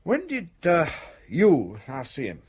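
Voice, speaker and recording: A police inspector in a radio play, his pitch moving freely as he probes. He is brisk and quietly suspicious, pausing with little ums before he presses on. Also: huge pitch swings, husky, heavily acted. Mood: probing. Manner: questioning, hesitant pauses with ums. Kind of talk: British radio drama dialogue.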